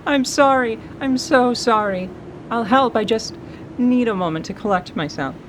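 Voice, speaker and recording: A woman playing a strong, amused adventurer woman with a dry, brisk, teasing manner in an audio drama. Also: fairly high pitch, slight background noise, pitched above the performer's own voice, natural voice, poor audio. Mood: laughing apology.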